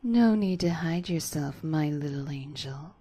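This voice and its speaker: A smirking male cartoon demon lover, played by a woman in a story reading. The voice is lowish and husky, with slow, teasing, seductive delivery. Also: animated, warm tone, full character voice. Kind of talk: melodramatic fan fiction reading.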